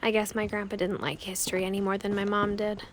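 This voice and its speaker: A woman playing a thoughtful young woman who quietly narrates her family's past in a game. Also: medium pitch, little pitch movement, quick pace, a little hiss or hum, close to an everyday voice. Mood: quiet, wistful.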